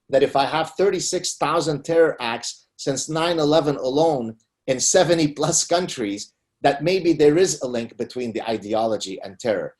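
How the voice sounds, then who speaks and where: A middle-aged man from Lebanon whose first language is Arabic, speaking emphatically as a guest in an interview. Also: darkish tone. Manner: emphatic, rising pitch on key points. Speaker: guest author. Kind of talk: conversational interview.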